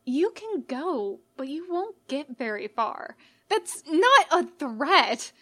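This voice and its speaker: A woman voicing a gentle, casual male skeleton monster in a story, earnest and puzzled. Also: high-pitched, very animated, slow, voice pushed up, heavily acted. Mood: gentle, pleading.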